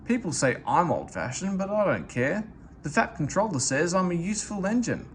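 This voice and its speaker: A man's voice: high voice, very animated, normal speed, a little hiss or hum, pitched above the performer's own voice. A man voicing a kind, caring old engine, in an earnest, indignant way, for a read-aloud storybook.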